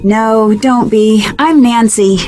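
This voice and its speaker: A woman's voice: on the high side, slow pace, darkish tone, a little hiss or hum. An actress playing a confident, inquisitive young woman detective in a video game, polite, direct and probing. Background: faint music.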